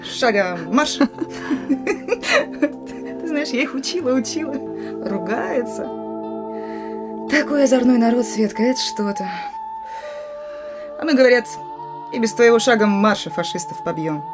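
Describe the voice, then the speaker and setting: An actress in a radio play voicing a gruff but emotional woman commander of a wartime partisan detachment. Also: a little high, expressive, measured pace, pauses often, loud music behind the voice. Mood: gruff, wistful. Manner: commanding, urgent, broken phrases.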